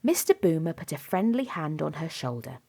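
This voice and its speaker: A woman reading a children's storybook aloud in an expressive, dramatic way, softening to a hush at times. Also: deep voice, moderate pace, creaky, husky. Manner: animated, dramatic delivery with softened hushed moments. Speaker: storybook reader. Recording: music behind the voice. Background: music and story sound effects.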